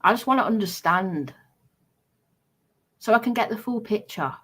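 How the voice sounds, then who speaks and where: A woman's voice: medium pitch, animated, moderate pace, a bit bright, slightly rough. A British woman streamer talking casually and unscripted, in a defensive, insistent manner. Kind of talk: casual unscripted livestream talk.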